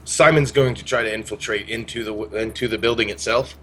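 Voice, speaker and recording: A man's voice: on the low side, fairly even intonation, a little fast, bright, forceful tone. A man casually narrating his character's actions as a player in a tabletop roleplaying game.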